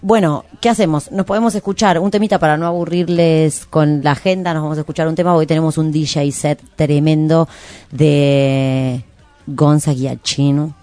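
A woman's voice: low voice, fairly even intonation, normal speed, warm tone, dry recording. A woman with an Argentine Rioplatense accent hosting a radio show in a casual, rambling, playful chat. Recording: background music. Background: music.

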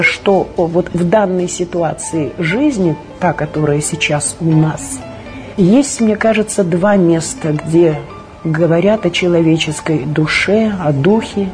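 Mellow-voiced woman reflecting, soft music behind. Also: lowish voice, takes it slowly. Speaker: theatre director. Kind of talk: reflective personal talk about own work.

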